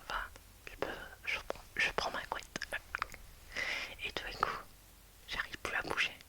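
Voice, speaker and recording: Whispering woman telling a story. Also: measured pace. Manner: hushed, hesitant, many restarts and fillers. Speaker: video creator.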